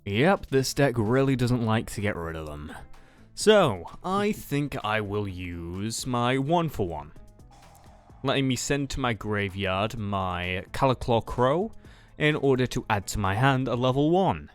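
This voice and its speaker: A man voices a confident, slightly absent-minded card duelist in an anime-style roleplay. His mid-pitched voice moves up and down in a lively way as he thinks aloud. Faint music plays behind him. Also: a little slow, clear, resonant tone. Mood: assured. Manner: thinking aloud with hesitant hums. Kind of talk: improvised anime-style roleplay dialogue, game commentary.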